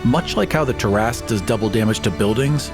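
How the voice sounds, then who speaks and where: A man's voice: fairly low pitch, a little fast, over music. A man chatting casually as a podcast host in a discussion of tabletop gaming.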